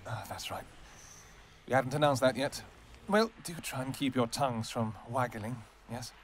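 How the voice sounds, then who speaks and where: A man's voice: medium pitch, moderate pace, a little hiss or hum. A man playing a devoted royal vampire king in an audio roleplay, tender with his wife and commanding with his soldiers.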